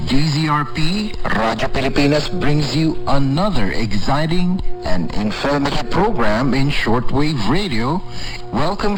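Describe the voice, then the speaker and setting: A man's voice: medium pitch, rough recording. A man introduces a program as host in a scripted promotional style on shortwave radio. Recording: shortwave radio broadcast over loud music. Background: loud music.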